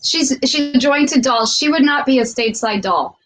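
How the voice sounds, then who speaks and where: A woman giving psychic impressions about a doll in a relaxed, conversational way, repeating words as she searches for them. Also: high voice. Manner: thoughtful, unhurried, repeats words while searching. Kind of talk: casual conversation.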